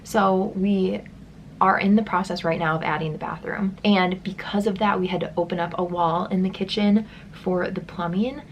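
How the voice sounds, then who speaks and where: A woman's voice: lowish voice, fairly even intonation, normal speed, muffled recording, a little hiss or hum. A young woman vlogging at home as she gets ready, talking in a loose, rambling way with lots of "like" and "um", her voice stuffy and congested. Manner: casual and rambling, many likes and ums. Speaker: beauty vlogger. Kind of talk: chatty get-ready-with-me vlog.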